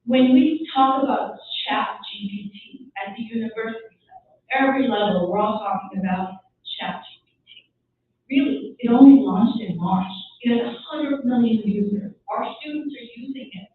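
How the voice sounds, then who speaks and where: A woman's voice: fairly high pitch, normal speed, a little hiss or hum. Distant echoey woman giving a keynote. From Syria. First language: Armenian. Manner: earnest, emphatic, with rhetorical questions. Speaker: gala speaker. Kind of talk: keynote speech. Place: large event hall. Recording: distant, echoey, phone-like hall audio.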